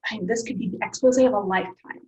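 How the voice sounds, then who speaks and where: A woman's voice: mid-range voice, fast talker, darkish tone, lightly acted, rough recording. A woman playing a determined young team leader in her twenties in a screenplay table read. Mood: urgent, persuasive.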